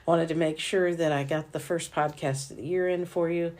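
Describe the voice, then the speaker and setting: A woman hosting a solo faith-based podcast, talking earnestly and encouragingly while hoarse from losing her voice. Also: deep voice, fairly even intonation, brisk, a little mellow. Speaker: podcast host for a small publisher.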